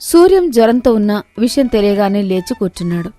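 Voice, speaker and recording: A woman's voice: medium pitch, big pitch swings, fairly quick, mellow, soft background music. A woman reading scripted narration for a moral story as its narrator.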